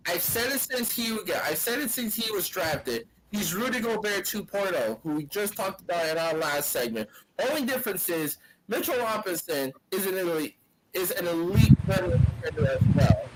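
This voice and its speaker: Sports podcast co-host, male, high and lively voice, casual debate delivery, restarts phrases, faint noise behind. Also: normal speed, rough recording. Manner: animated, restarts phrases often.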